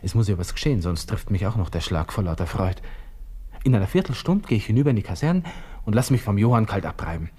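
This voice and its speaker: An actor playing a vain, jittery young Austrian army lieutenant in a radio drama monologue, muttering excitedly to himself in a husky voice. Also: male voice, on the low side, fast talker. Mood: giddy relief.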